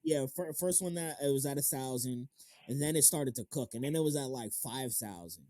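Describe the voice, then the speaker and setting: A man with a mellow, relaxed voice, recorded close and dry. He hosts a casual interview and talks in loose slang with plenty of swearing, hyping up his stories. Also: medium pitch, a little fast, no room sound. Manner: slangy, profane, hype storytelling. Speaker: interviewer, YouTube channel host.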